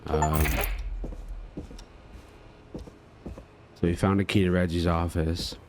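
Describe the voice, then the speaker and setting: A man's voice: sits low, fairly even intonation, takes it slowly, a little hiss or hum. A man doing casual let's play commentary, thinking aloud and reading game text as he goes. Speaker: gamer playing and commenting.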